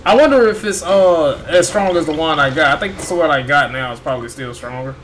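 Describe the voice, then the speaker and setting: A man's voice: fairly high pitch, brisk, fairly bright tone, slight background noise, poor audio. A man giving casual, slangy commentary on a video game as he plays. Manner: slangy, informal. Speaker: gaming streamer. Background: faint game music.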